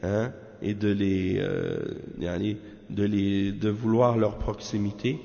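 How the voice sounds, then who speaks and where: A man from Canada giving a measured religious lesson on a text, often tagging his sentences with questions. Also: fairly low pitch, lively intonation, vocal fry, dark tone. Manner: measured, peppers talk with tag questions. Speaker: religious teacher. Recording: echoey hall, dull lo-fi sound.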